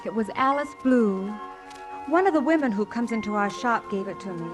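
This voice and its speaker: Woman acting young shop girl, very high voice, naive, wistful, gushing, vintage radio musical, music behind. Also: expressive, normal speed, close to an everyday voice. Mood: wistful, dreamy. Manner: wistful, breathless gushing, guileless. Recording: old 1950s radio broadcast.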